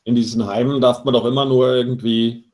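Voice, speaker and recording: A man chatting casually on a panel show, with wry, deadpan asides. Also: mid-range voice, brisk. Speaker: panelist on a live talk show. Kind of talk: casual chat with dry asides.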